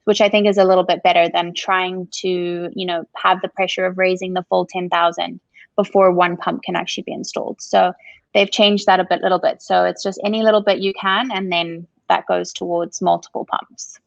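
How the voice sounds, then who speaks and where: A woman's voice: fairly low pitch, flat delivery, fairly quick, gravelly, no room sound, highs cut off. A woman from Zimbabwe with a Zimbabwean accent, a coffee shop owner talking earnestly as a guest in a casual interview. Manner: warm, earnest, says "absolutely" often.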